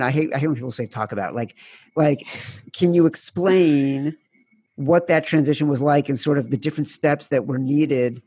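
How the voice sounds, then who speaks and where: A man's voice: fairly high pitch, a little mellow, no room sound. A man interviewing an author, speaking casually and often saying "like". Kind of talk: conversational interview.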